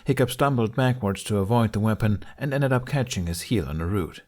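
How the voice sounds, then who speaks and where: A man's voice: fairly low pitch, brisk, a little mellow. A man reading a scripted story aloud as an audiobook narrator. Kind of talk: scripted narration of fan fiction.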